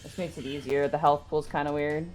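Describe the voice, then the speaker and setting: A woman's voice: low-pitched, little pitch movement, a little fast, a little mellow, poor audio. A woman chatting casually as a player in a tabletop game, describing what her character does. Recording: music playing behind the voice.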